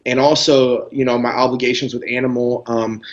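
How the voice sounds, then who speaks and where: Easygoing male guest, filler-heavy casual talk, muffled low-fi recording. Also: brisk. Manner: relaxed, laid-back, lots of "you know" fillers. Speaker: guest pro bodybuilder. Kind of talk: casual interview chat.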